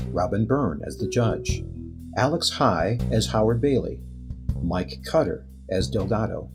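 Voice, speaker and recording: A man presents as host of a radio theater show in a scripted style. His pitch is middling, and guitar music plays clearly beneath his voice. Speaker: host and writer-director.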